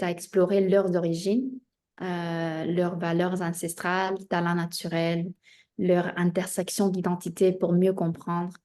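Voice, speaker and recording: A young woman, raised in Spain with Spanish as her mother tongue, talks about herself as a guest in an interview. Her voice sits a little on the low side, and she speaks in a measured way. She often pauses between phrases as she gathers her thoughts. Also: dark tone. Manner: measured, with frequent hesitations. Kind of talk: self-introduction in an interview.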